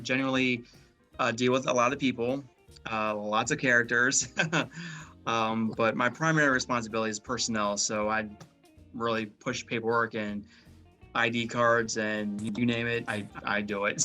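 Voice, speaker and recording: Bright-voiced man, casual and rambling. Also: mid-range voice. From Maryland, USA. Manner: friendly, rambling with many fillers and restarts. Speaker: guest. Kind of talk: casual interview chat.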